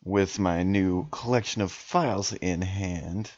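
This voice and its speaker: A man's voice: sits low, takes it slowly, darkish tone, no echo. A man playing a tabletop role-playing game, informal and reactive, often thinking aloud, recorded in a home studio. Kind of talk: casual improvised tabletop play. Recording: dry, slightly dull home studio sound.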